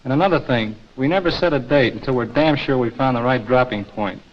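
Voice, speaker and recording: An American actor playing a confident military pilot in a 1940s training film, briefing in informal, slangy speech. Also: male voice, mid-range voice, a little fast, slight background noise, close to an everyday voice. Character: confident, plain-talking male military pilot briefing an agent. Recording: dull 1940s film soundtrack, music behind.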